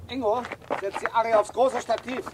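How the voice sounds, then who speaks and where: A man playing a brisk film director giving orders on location in a naturalistic radio drama. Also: very high voice, steady tone. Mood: brisk, commanding. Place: outdoors by a country road. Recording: noisy outdoor roadside ambience.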